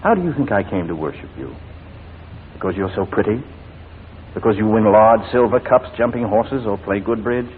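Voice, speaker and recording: A low-voiced, proud prosecuting barrister played by a man in a 1940s radio play. He speaks formally and insistently with big swings of pitch, through a narrow, tinny old broadcast sound. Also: lively intonation, normal speed, a little hiss or hum, natural voice. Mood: tender. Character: shrewd, proud English prosecuting barrister, devoted husband. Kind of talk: 1940s radio drama acting.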